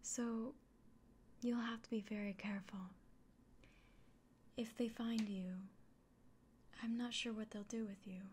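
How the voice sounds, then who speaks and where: Woman playing a whispering, slow-talking kindly fantasy innkeeper. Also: a little high, steady tone, a little hiss or hum, lightly acted. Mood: caring, cautionary. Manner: gentle, reassuring, unhurried. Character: kindly, caring female fantasy innkeeper giving a quest. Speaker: ASMR roleplayer. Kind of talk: whispered ASMR fantasy roleplay.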